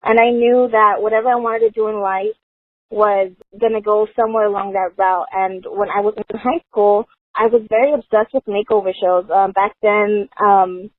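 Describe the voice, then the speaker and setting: A woman's voice: mid-range voice, fairly even intonation, normal speed, no room sound. A woman from the Los Angeles area, a guest who tells her story in a chatty, casual way and often says "you know". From Los Angeles area, United States. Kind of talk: casual conversation. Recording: dry, phone-like narrow sound.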